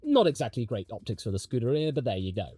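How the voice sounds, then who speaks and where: A man's voice: medium pitch, very animated, fast talker, warm tone, slightly rough, no room sound. A British man hosting a commentary video, speaking in a chatty, colloquial and emphatic way with plenty of opinion. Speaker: motorsport commentary video host.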